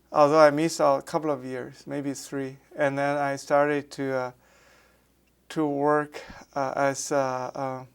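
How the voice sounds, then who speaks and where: A man's voice: fairly high pitch, smooth. A man in his sixties from Beijing with a Beijing Mandarin accent, a native Mandarin speaker, answering questions in an oral history interview with many hesitations and restarts. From Beijing, China. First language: Mandarin Chinese. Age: 60s. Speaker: architecture professor.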